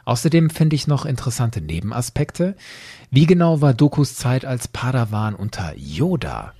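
A man's voice: mid-range voice, big pitch swings, moderate pace, mellow, breathy edge. A man hosting a podcast, explaining things to listeners in an emphatic, conversational and orderly way. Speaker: podcast host. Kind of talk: explanatory solo podcast narration, addressing listeners directly.

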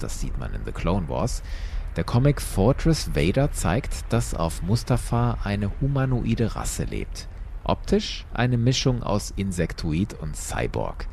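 Guy narrating a story, low voice, really animated scripted delivery, bit of background noise. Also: creaky. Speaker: storyteller host.

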